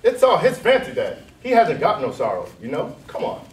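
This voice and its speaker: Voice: medium pitch, huge pitch swings, fairly quick. A performer in readers' theatre playing a brash, mocking Gryphon creature with blunt, folksy, ungrammatical speech. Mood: dismissive. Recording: distant roomy hall recording, background noise.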